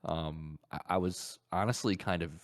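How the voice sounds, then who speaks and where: Male podcast co-host with a low voice, casual gaming talk, hesitant and repetitive as he thinks aloud. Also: steady tone, normal speed. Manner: hesitant, repeats words while thinking aloud.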